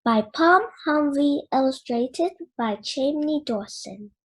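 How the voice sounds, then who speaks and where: A child reading a children's storybook aloud in an expressive storytelling style. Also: high voice, slow pace. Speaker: children's book reader.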